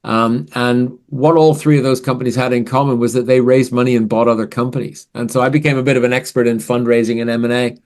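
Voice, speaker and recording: A man's voice: mid-range voice, fast, hardly any pauses. Canadian-born man in his sixties, easygoing. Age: 60s. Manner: relaxed storytelling with fillers. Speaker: podcast guest, finance strategist. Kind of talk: casual interview answers.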